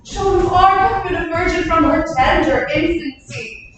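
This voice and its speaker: A woman's voice: very high-pitched, normal speed. A woman playing a proud, defiant young condemned maid, declaiming impassioned verse in a Shakespearean staged reading. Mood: proud, indignant. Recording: distant echoey hall recording, faint noise.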